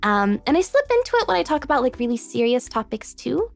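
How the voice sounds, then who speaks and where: High pitched girly voice, bubbly chatty woman on a podcast, lil bit of music in the back. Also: very animated, fast, gravelly. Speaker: podcast guest, voice actress. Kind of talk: casual podcast chat.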